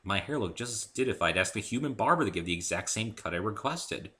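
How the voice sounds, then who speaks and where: A man's voice: lowish voice, lively intonation, fast talker, sharp tone. A man reading scripted first-person fiction as an amateur story narrator.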